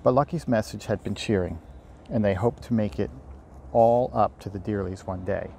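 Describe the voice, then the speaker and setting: Low, gravelly man reading a story outdoors. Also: moderate pace, mellow. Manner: unpolished, stumbles and rereads lines. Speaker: amateur audiobook reader and host. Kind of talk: informal storybook read-aloud. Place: outdoors by a river. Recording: faint outdoor ambient noise. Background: faint outdoor ambience.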